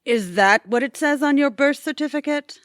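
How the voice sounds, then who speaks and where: A woman's voice: sits high, moderate pace, dry recording, clean studio sound, close to an everyday voice. A woman playing a domineering, scheming office boss in an audio comedy, with a sarcastic, cutting manner. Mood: bossy, scheming. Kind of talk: audio theatre comedy acting.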